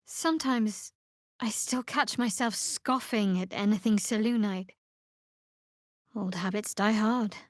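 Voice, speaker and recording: An actress playing a guarded, wry young cleric of darkness in a video game, speaking in a measured way. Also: female voice, medium pitch, lightly acted. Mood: proud, wry.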